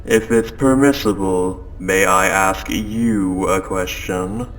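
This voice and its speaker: A man voicing a calm, possessive spaceship AI in an audio roleplay, speaking in a measured, formal, softly coaxing way. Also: deep voice, vocal fry, exaggerated voice, rough recording. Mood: probing, persuasive. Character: calm, possessive spaceship AI turning quietly obsessive. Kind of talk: scripted audio roleplay monologue. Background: ambient sound effects.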